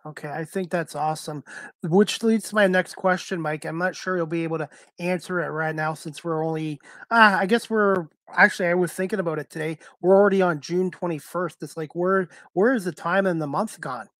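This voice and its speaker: A man's voice: high voice, fairly even intonation, fairly quick, fairly bright tone. Middle-aged male host, casual interviewer, close and echo-free on a headset. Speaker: talk show host. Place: video call from indoor room.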